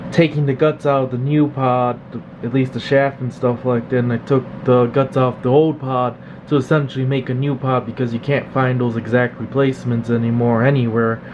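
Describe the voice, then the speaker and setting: Man narrating casually over noisy, dull audio. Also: mid-range voice. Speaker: repair technician demonstrating his work. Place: indoor electronics workshop. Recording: slightly dull, noisy hum of room. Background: steady background noise.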